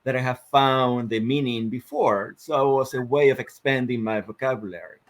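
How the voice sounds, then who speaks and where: Man, 50s, Argentine accent, interview guest, reflective, measured, filler-heavy. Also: medium pitch. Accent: Argentine Spanish. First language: Spanish. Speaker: guest, sports psychologist. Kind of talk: interview storytelling.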